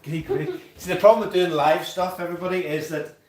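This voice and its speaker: A man's voice: fairly high pitch, level tone, brisk, a little mellow, slight background noise. A man, hosting a casual home-brewing livestream chat and reading out viewer comments. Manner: chatty, reads out viewer comments, laughs easily. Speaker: livestream host. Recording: echoey room, distant from mic.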